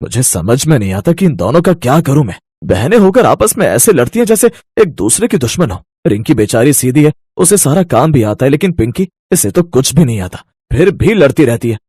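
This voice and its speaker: Man playing a husky, exasperated elder brother. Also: medium pitch, sing-song, a little fast, hardly any pauses, dark tone, no echo, clean studio sound. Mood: frustrated. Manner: scolding, emphatic. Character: exasperated elder brother, stern, worried for his sisters.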